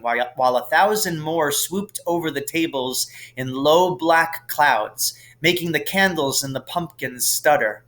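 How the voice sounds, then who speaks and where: A man reading a storybook aloud as a teacher would, in expressive narration. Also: on the high side.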